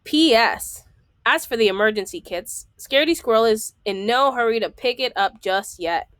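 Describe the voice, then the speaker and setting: A woman's voice: fairly high pitch, expressive, normal speed, a bit bright, no echo, clean studio sound. A woman reading a children's book aloud, enunciating item by item and adding explanatory asides.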